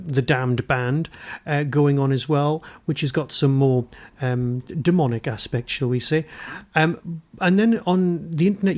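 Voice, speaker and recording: A man's voice: on the high side, a little mellow. A man interviewing casually, with tentative, rambling phrasing. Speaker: podcast interviewer.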